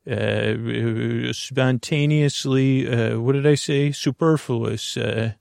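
Male sleep podcast host: gravelly creak, close dry sound, soothing and wandering monologue. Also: mid-range voice, steady tone, darkish tone, no room sound. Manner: meandering, halting, soothing, full of tangents. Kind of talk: slow rambling bedtime monologue.

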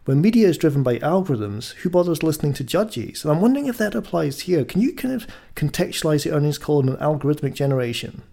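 A man's voice: on the high side, sing-song, fast, gravelly, hardly any pauses, dark tone. A man hosting a podcast conversationally, inquisitive and thinking aloud as he questions and recaps. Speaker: podcast host.

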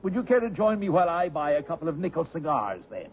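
An actor playing a genteel, gently teasing old man who lodges with a family, full of dry quips and courtly phrasing. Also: a little high, big pitch swings, fast talker, a little hiss or hum. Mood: wry. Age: older. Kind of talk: 1950s radio drama acting. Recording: muffled old radio broadcast.

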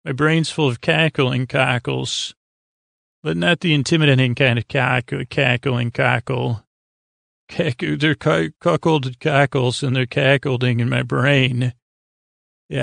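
A man with a gravelly, creaky voice rambling as host of a sleep podcast, halting and stumbling over words as the thought wanders. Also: medium pitch, steady tone, fairly bright tone, dry recording, clean studio sound.

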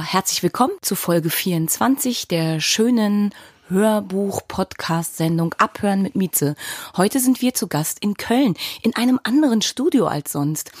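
A woman's voice: mid-range voice, a little mellow, breathy edge, dry recording, studio quality. A woman hosting a podcast interview in a chatty, playful and informal way. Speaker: podcast host. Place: studio.

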